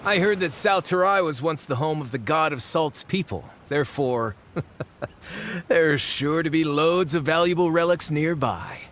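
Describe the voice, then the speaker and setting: A man's voice: fairly high pitch, measured pace, a bit bright, slight background noise. An actor playing a greedy, boastful man who calls himself a scholar, scornful and given to sly chuckles. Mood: defensive. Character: greedy boastful male treasure-hunting scholar. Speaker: game character. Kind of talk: video game cutscene acting. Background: game soundtrack music.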